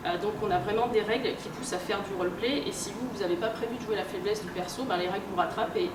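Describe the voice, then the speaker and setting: Woman, informal lecture, colloquial, halting explanatory delivery, medium pitch, very noisy recording. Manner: halting, colloquial, explanatory, with frequent hesitations and repeated words. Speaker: guest speaker, researcher and game publisher. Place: room at a convention, recorded from afar. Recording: distant roomy mic, loud background noise. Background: loud ambient noise.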